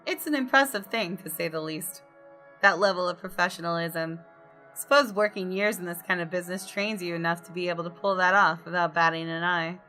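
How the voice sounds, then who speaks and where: A woman's voice: lowish voice, a little fast, bright, forceful tone, soft background music. A woman reading visual novel narration aloud as a gaming video creator, in a dramatic storytelling way with casual asides.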